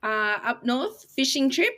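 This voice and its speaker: A woman with a slightly high-pitched voice. She talks casually as a co-host on a live online chat. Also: very animated, a little slow, sharp tone. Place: live online video stream.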